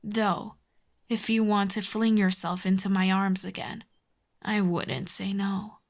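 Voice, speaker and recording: A woman playing a proud telepathic villainess with a soft spot, in an intimate ASMR roleplay, haughty and teasing. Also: mid-range voice, little pitch movement, vocal fry. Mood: smug, fond. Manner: haughty, teasing, slow deliberate delivery with soft whispers. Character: proud telepathic villainess, secretly fond of a hero.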